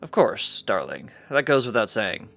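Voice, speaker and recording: A man's voice: fairly low pitch, sing-song, normal speed, fairly bright tone, soft background music. A man voicing a distracted, breezy male unicorn scientist, a doting husband in a storybook reading. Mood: cheerful.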